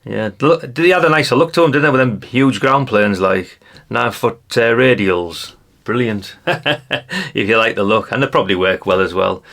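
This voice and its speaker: A man's voice: mid-range voice, moderate pace, vocal fry, a bit bright. A British man giving casual, rambling hobbyist commentary to his viewers, chatty and colloquial, often tagging questions onto his remarks. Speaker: hobbyist video host. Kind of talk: casual rambling commentary while leafing through a brochure.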